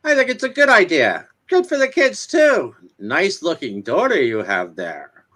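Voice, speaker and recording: A man's voice: high-pitched, wildly swooping pitch, normal speed, fairly bright tone, full character voice. A man acting a friendly, gossipy small-town neighbor in a dramatic comic reading, folksy and exclamatory. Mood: folksy, opinionated.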